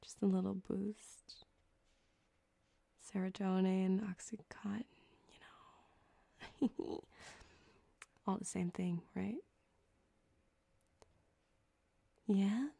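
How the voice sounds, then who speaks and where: A woman's voice: mid-range voice, little pitch movement, takes it slowly, creaky, long pauses, dark tone, husky, full character voice. A woman playing a flirty, sadistic cyberpunk surgeon in an ASMR roleplay, coaxing and teasing, drifting into whispers on a close microphone. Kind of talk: ASMR roleplay, intimate one-sided scene. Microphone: close binaural microphone.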